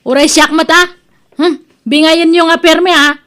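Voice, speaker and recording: A woman playing a feisty, expressive daughter-in-law in an Ilocano radio-style comedy drama. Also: very high voice, unhurried. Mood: assertive.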